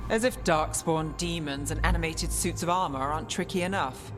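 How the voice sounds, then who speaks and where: A woman's voice: low-pitched, normal speed, over music, close to an everyday voice. A woman playing a cool, wry detective mage in a video game, speaking with dry composure. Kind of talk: dramatic video game acting.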